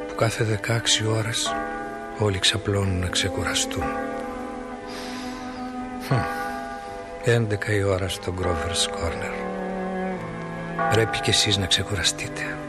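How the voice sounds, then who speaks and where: An actor playing a calm, philosophical stage manager who narrates a radio drama in a musing, folksy way. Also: male voice, deep voice, lightly acted. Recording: radio play with loud music bed.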